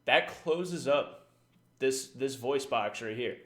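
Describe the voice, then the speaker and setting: A man talks through casting tips on a stream in a loose, rambling way. He fills gaps with "uh" and checks in with "right?". His pitch sits in an ordinary middle range. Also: slow pace, fairly bright tone. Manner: rambling, frequent fillers and "right?". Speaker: esports caster giving tips. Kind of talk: casual livestream talk.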